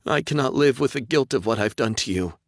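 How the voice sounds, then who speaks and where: A man voicing a tearful young woman prisoner who pleads softly through sobs, in an audiobook. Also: mid-range voice, sing-song, moderate pace, vocal fry, husky, no room sound, clean studio sound, voice pushed up, full character voice. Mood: tearful pleading. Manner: soft, pleading, sobbing. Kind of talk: audiobook character voice.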